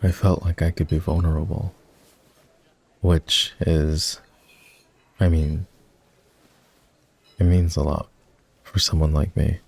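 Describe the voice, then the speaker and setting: A man playing an ex-boyfriend turned boss in an intimate roleplay ASMR, talking in a halting, conversational way. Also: very deep voice, little pitch movement, gravelly, darkish tone, slightly rough, exaggerated voice. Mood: vulnerable, regretful. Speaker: voice actor.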